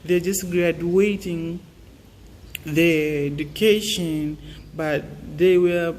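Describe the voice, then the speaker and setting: Young man, earnest and halting; echoing room, faint hiss. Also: a little high, fairly even intonation, a little mellow. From Tanzania. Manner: earnest, halting, many fillers and restarts. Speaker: student giving advice. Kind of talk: casual motivational talk.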